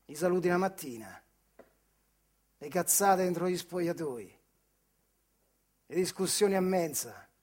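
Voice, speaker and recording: An actor playing a bitter, weary old retired factory worker in a stage monologue, speaking colloquially with a Marche accent from central Italy, wry and rueful. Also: male voice, high-pitched, animated, a little slow, long pauses, a little mellow. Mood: reflective. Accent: central Italian, Marche. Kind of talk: dramatic theatrical monologue.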